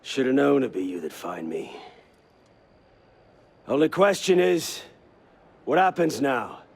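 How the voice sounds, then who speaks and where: An actor playing a bitter, fanatical older assassin in a video game, speaking in a gravelly, husky voice with grand, impassioned intensity. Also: male voice, mid-range voice, big pitch swings, takes it slowly, full character voice. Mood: contemptuous. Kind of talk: video game dramatic acting.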